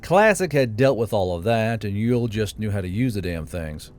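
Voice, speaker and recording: A man's voice: fairly low pitch, expressive, normal speed, slight background noise. A man reading a fantasy novel aloud as scripted audiobook narration. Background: quiet background music.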